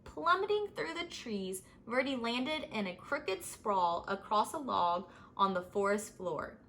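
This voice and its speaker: A woman reading a children's story aloud as scripted, expressive narration. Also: medium pitch, unhurried, a little hiss or hum. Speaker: storybook reader.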